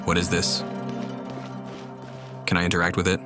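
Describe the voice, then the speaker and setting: A man giving casual, low-key, matter-of-fact gameplay commentary as the host of a gaming video. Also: monotone, normal speed. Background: game music.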